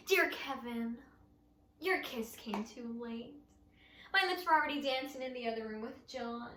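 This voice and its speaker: A woman's voice: sits high, sharp tone, roomy sound. A woman who is a writer, performing a spoken word poem with a theatrical, emotional and mocking delivery. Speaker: poet, writer.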